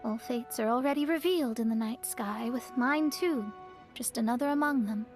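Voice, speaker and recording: A woman voicing a young astrologist in a game, earnest, proud and wistful, with measured, reflective delivery. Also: on the high side, faint music behind, natural voice. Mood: wistful, resigned. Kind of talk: scripted game voice acting.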